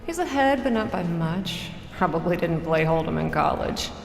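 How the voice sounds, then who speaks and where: Shrewd female gambler in radio drama: clipped, cool, muttering, deep reverberant space, music underneath. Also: expressive, normal speed, gravelly. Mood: calculating. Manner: clipped, calculating, muttered asides. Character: shrewd, cool-headed woman gambler sizing up her rivals. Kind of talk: audio drama acting, inner monologue. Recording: roomy, echoing hall reverb.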